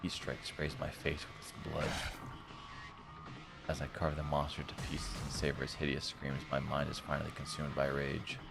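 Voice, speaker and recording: Very deep, gravelly man over loud music. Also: monotone, moderate pace. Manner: dramatic read-aloud broken by blunt, slangy reactions. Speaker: streamer playing a visual novel. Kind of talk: reading game text aloud with casual asides. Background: game music.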